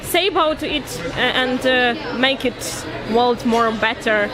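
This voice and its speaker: A woman interviewee speaking English, hesitant and halting as she searches for words. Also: on the high side, poor audio. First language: not English. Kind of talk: street interview answer. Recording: crowd noise in public space.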